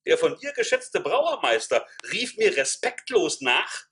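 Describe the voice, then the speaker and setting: An actor playing a pompous imperial envoy with a declamatory, astonished manner in a radio play. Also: male voice, sits high, very animated, measured pace, bright, forceful tone, husky, heavily acted. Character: pompous mustachioed male imperial envoy on horseback.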